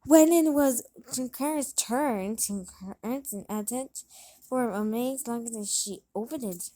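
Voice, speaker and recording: Slow, high-voiced woman reading a children's story. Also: dark tone, poor audio. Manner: halting, stumbles and repeats words. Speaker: storybook reader. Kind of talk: children's read-along narration.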